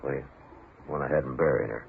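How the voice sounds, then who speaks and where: An actor playing a steady frontier marshal with dry humor in an old-time radio western, also narrating. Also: male voice, rumbling low, fairly quick, vocal fry. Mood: grave, firm. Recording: noisy, narrow-band old radio recording.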